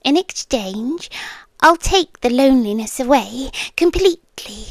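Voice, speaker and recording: British female immortal monster, acted by a woman, high pitch, close dry sound, seductive, possessive, lonely, whispery, teasing ASMR roleplay delivery. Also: no echo, heavily acted.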